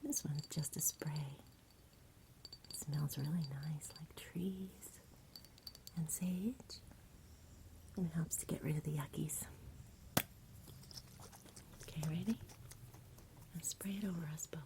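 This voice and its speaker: A woman speaking in a soft, soothing whisper in an ASMR bedtime roleplay, gentle and unhurried as if talking to a child. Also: low-pitched, background noise. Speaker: ASMR performer playing a caregiver at bedtime.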